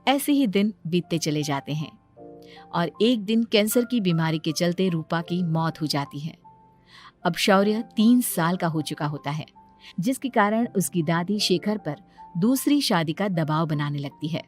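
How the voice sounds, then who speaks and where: A woman's voice: fairly low pitch, expressive, a little slow, darkish tone. A woman narrating a scripted dramatic story. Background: background music.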